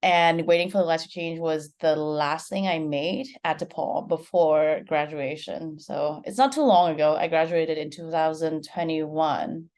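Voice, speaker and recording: Low-voiced woman with a Vietnamese accent. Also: measured pace, few pauses, darkish tone. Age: 20s. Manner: chatty, leans heavily on "like". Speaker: film director guest. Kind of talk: casual interview conversation.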